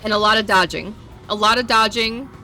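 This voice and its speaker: A playful woman streamer who chats with her viewers and laughs at her own mistakes. Her voice is bright and crisp, sitting mid-range. Faint music plays underneath her talk. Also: medium pitch, fairly quick. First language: English. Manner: playful, chatty, self-deprecating. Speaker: variety streamer. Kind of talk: casual live-stream chat.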